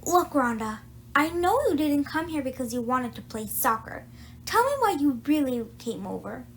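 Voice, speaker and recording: An eager young girl detective acted out by a woman from a children's storybook. She speaks in a high voice, insistent and dramatic, pressing her point. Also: big pitch swings, normal speed, fairly bright tone, slight background noise, heavily acted.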